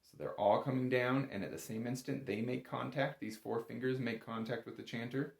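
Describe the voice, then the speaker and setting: A man's voice: medium pitch, fairly even intonation, normal speed, vocal fry, reverberant. A man giving a casual, step-by-step music lesson as an instructor, in an informal, reassuring and encouraging manner. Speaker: bagpipe instructor.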